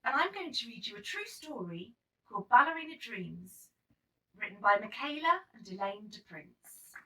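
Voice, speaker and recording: A woman reads a children's story aloud with a lively, swooping delivery. Her voice is high and bright, and she sounds a little way from the microphone. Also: moderate pace. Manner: animated, dramatic storytelling. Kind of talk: expressive read-aloud of a children's picture book. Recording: slightly distant microphone.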